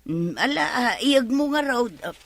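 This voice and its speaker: A woman's voice: sits high, expressive, unhurried. A woman with a Filipino accent acting in a radio drama as a folksy, fretful older village mother.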